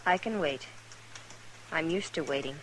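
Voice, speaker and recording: An actress playing a polite, earnest young American newcomer to a frontier town in an old radio western. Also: female voice, sits low, measured pace, fairly bright tone, rough recording. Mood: wistful, polite. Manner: proper, demure. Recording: hissy old-time radio recording.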